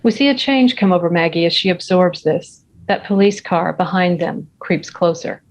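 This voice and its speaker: A woman's voice: fairly low pitch, lively intonation, normal speed. A woman reading out stage directions as scripted narration for a screenplay table read.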